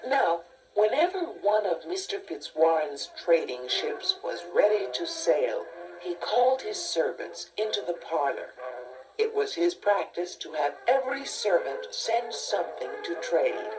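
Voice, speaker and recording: A woman narrates a children's storybook at a very unhurried pace. Her voice sits a little low, with measured, expressive phrasing. It sounds like a slightly distant cassette recording, with music clearly playing behind her.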